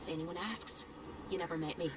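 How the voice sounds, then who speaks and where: An actress playing a blunt, guarded smuggler in a fantasy video game, with a dry, sardonic way of talking. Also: female voice, lowish voice, brisk, slight background noise, lightly acted. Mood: guarded, teasing. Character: wry, blunt smuggler woman with a soft heart.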